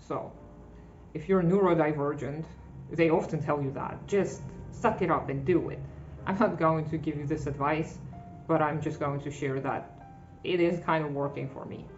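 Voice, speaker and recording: Frank vlogger over faint music. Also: mid-range voice. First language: Russian. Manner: frank, self-deprecating, with short laughs. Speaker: channel host. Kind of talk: personal vlog monologue. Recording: dull lo-fi audio with faint music.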